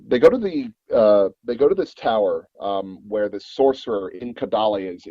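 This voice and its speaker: A man with a low, mellow and husky voice talks as an interview guest. He tells stories casually, with hesitant pauses along the way. The recording is dull and muffled. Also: dry recording. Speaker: interview guest, audio drama producer.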